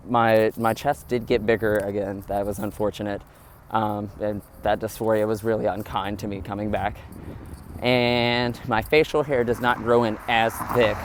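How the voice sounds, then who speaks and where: A man's voice: mid-range voice, slight background noise. A man talking candidly and earnestly to his viewers in a casual vlog monologue. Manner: earnest, open, addresses viewers directly. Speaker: vlogger.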